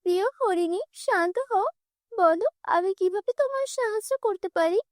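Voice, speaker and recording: A gentle, kind young cartoon heroine, voiced by a woman with a very high, bright voice. She speaks softly and earnestly in a dry studio recording. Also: lively intonation, normal speed, dry recording. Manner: soft, earnest. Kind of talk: cartoon dubbing.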